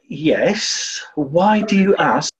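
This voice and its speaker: A man's voice: fairly high pitch, animated, drawn-out words. A man playing a dim-witted, cheerful detective in a 1940s-style comedy radio play, theatrical and plummy. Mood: blithe.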